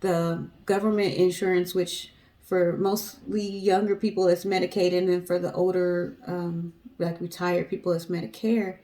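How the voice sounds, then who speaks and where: A woman's voice: on the low side, level tone, normal speed, mellow, rough recording. American woman hosting a casual storytime show. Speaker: co-host of a storytelling guessing show. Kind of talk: casual storytelling and commentary to viewers.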